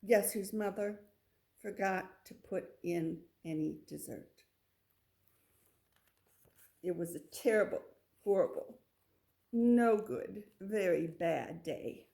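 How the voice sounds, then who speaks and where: Slow, expressive woman reading a storybook. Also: mid-range voice, gravelly. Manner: expressive, drawn-out storytelling with asides to the listener. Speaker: storybook reader. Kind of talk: children's picture book read-aloud.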